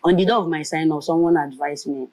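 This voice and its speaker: This woman speaks low with a mellow tone and a Nigerian Igbo accent. She is a lively storyteller, moving between English, Pidgin and Igbo as she recounts her path as a comedian and radio presenter. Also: quick pace. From Nigeria, Nkanu land. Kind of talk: storytelling in a conversational interview.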